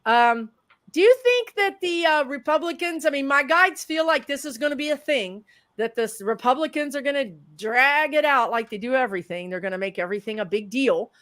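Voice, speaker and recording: A woman, a psychic medium chatting casually as a livestream guest, rambling in a loud voice. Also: high-pitched, expressive, brisk.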